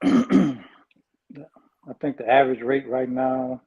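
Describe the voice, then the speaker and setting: A man's voice: mid-range voice, steady tone, very slow, warm tone, breathy edge. A man answering as a guest in a casual conversation, agreeable and brief, on dull lo-fi audio. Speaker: guest, small business owner being advised.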